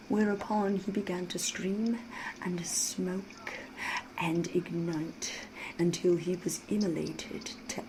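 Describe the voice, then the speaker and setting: Woman playing a soft-spoken, mysterious vampire storyteller, ambient noise. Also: on the low side. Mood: hushed, darkly satisfied. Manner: measured, theatrical, knowing, old-fashioned formal diction. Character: mysterious flirtatious female vampire, worldly storyteller with veiled menace. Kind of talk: ASMR roleplay storytelling. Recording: steady ambient noise behind the voice.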